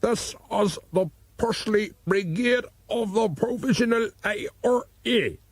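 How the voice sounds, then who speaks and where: A man's voice: sits high, breathy edge, no room sound, pitched above the performer's own voice, full character voice. A man voicing a character who puts on a fake Irish accent to make a menacing phone call. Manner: slow, menacing, put-on Irish voice.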